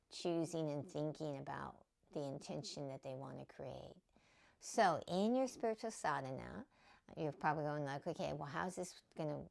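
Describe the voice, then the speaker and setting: Low, gravelly-voiced woman teaching spirituality. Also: a little mellow. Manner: conversational, many fillers and "okay?" check-ins. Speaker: spiritual teacher and podcast host. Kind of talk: casual spiritual teaching monologue.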